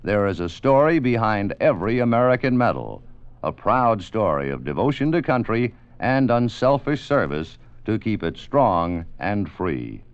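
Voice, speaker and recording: 50s radio announcer guy, low and formal, big swooping delivery, muffled old audio. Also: very animated, normal speed, clean tone, dry recording. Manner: formal, declamatory. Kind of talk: scripted 1950s radio public-service narration. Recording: dull old radio recording.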